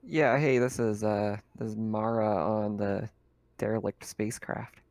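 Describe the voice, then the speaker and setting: A man's voice: lowish voice, a little slow, darkish tone, no echo, voice pushed down. A man playing a practical, insistent spacecraft hacker in a tabletop game, in an everyday voice. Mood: matter-of-fact.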